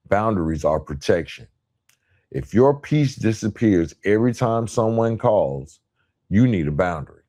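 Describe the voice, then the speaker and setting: Male motivational podcast host, lower-pitched voice, emphatic and deliberate delivery, repetition for weight. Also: darkish tone. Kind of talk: motivational monologue, part scripted.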